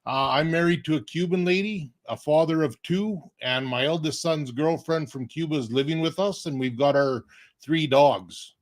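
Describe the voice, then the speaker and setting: A man's voice: fairly high pitch, normal speed. Rambling man hosting live. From Canada. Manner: friendly, rambling, laughs easily. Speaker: host. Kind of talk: live online show opening.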